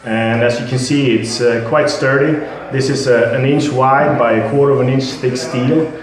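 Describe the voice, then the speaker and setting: A man with a Swedish accent, a product representative, explaining and demonstrating his product with halting speech, fillers and repeated words. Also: mid-range voice, steady tone, a crowd in the background. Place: building site at a house. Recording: very echoey.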